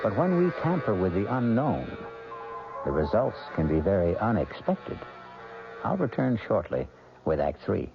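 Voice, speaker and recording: An ominous low-voiced radio host. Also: male voice, very animated, gravelly, heavily acted. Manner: ominous, measured, knowing. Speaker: show's narrator host. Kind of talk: 1970s radio drama narration. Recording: lo-fi old radio broadcast with music.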